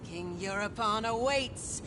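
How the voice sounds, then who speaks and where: A woman's voice: mid-range voice, slow pace, background noise, lightly acted. A woman playing a haughty, mocking herald of a brutal warrior festival in a video game, declaiming theatrically and with scorn. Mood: grand, solemn. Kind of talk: grand theatrical game voice acting. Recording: loud game music underneath.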